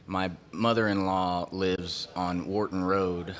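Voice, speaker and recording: A man's voice: low-pitched, little pitch movement, slow. A man, a guest who speaks plainly and politely. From Kerrville, Texas.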